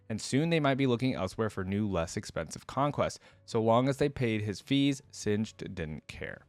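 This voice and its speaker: Guy doing casual reaction commentary n reading stuff out, lowish voice, really dry close sound. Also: no echo. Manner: informal, drops in side comments while reading. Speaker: reaction video host.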